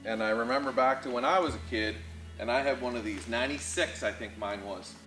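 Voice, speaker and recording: A man from Prince Edward Island with a Canadian Maritime accent, telling a casual personal story in a folksy, animated, conversational way. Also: medium pitch, a little fast, faint music behind, rough recording. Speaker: car dealership storyteller and host.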